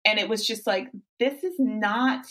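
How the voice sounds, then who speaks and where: A woman's voice: medium pitch, measured pace, fairly bright tone. A woman in her forties hosting a podcast as a fitness coach, talking in a casual, encouraging way with frequent restarts. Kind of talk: casual interview chat.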